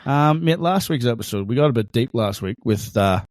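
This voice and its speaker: A man's voice: medium pitch, expressive, brisk, dry recording, studio quality. A man with a thick accent co-hosting a casual podcast, chatty and teasing, laughing often. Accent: thick non-Australian accent. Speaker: podcast co-host.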